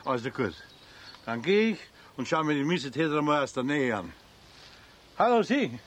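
An actor playing an officious, indignant forestry official with a Bavarian accent in a radio play, stern and insistent. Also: male voice, on the high side, big pitch swings, normal speed, a bit bright. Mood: grumbling. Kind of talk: 1970s German radio play acting.

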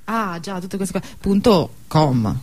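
Woman guest with lively intonation. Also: on the low side, slow, slightly rough. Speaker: radio guest. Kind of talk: casual chat.

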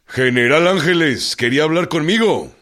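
An actor with a Mexican accent playing a stubborn, commanding revolutionary general in a radio drama, speaking bluntly and with authority. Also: male voice, on the high side, animated, moderate pace, a bit bright. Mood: curt, stubborn.

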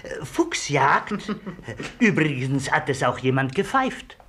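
An actor playing an excitable, sly Italian gentleman in a 1950s radio play, with an Italian accent and hesitant broken speech. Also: a little high, slow pace, breathy edge, heavily acted. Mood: playful, theatrical. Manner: hesitant broken German with Italian words mixed in.

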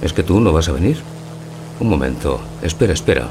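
A man's voice: expressive, a little fast. A man from playing a bewildered, wounded lover in a radio theatre parody of a classic film. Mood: confused, pleading. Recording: clear background hum and rumble.

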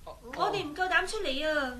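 Anxious young woman, high voice, radio drama, busy sound behind. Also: animated, moderate pace, crowd noise. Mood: timid. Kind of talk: Cantonese radio drama acting.

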